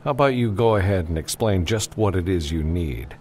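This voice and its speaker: A man voicing a gruff, level-headed freelance pilot in an audiobook, casual and dry. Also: low voice, expressive, fairly quick, darkish tone, slight background noise, voice pushed up. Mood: cool, probing.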